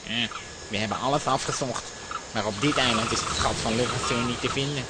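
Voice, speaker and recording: A man playing a gruff, irritable pirate leader in a children's adventure audio drama, blustering as he talks. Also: medium pitch, fairly quick, close to an everyday voice. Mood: grumbling. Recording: noisy insect ambience behind.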